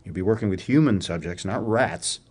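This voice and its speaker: Sardonic academic, lowish voice, precise diction, probing, radio drama dialogue. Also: male voice, moderate pace, darkish tone, husky. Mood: serious, exacting. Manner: dryly sardonic, precise, articulate. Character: wry, probing male academic research professor. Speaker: actor in an audio drama.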